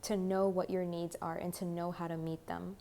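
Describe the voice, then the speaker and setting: A young woman, a bit hoarse, giving a rambling spiritual tarot talk full of "like" and "you know". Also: fairly low pitch, little pitch movement, moderate pace, warm tone, slight background noise. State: slightly hoarse. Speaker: tarot reader. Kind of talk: channeled spiritual monologue.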